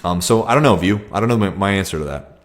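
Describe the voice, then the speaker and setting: A young man hosting a casual livestream Q&A, talking reflectively and haltingly with many ums and restarts. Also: low-pitched, expressive. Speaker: livestream host. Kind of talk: casual livestream Q&A, reading comments.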